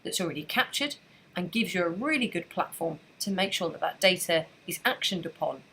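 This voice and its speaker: A woman narrating a scripted software training screencast in a clear, even instructional manner. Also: fairly low pitch, fairly quick, slight background noise, poor audio.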